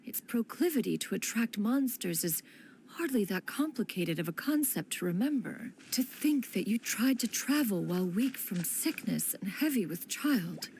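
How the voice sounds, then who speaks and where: A woman's voice: medium pitch, very animated, moderate pace, a little mellow, a little hiss or hum. An actress playing a proud, ancient and wise female crane adeptus in a video game, speaking in lofty, archaic, formal language over music. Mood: haughty, fond. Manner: archaic, lofty diction, refers to herself as one. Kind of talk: scripted game dialogue, storytelling.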